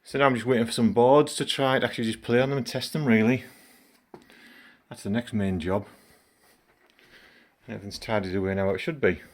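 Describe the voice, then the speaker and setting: A middle-aged man with a croaky voice from a cold, chatting casually in a home vlog as he works and comments on it. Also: mid-range voice, fairly even intonation, a little fast, long pauses. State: hoarse from a cold. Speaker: gaming channel host.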